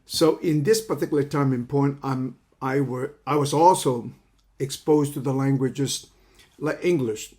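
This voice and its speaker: Older man, 70s, halting lecture delivery, repeats words mid-sentence. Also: mid-range voice, warm tone. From China. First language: Cantonese. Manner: halting, repeats words while searching for phrasing. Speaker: counseling psychology teacher. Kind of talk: lecture introduction.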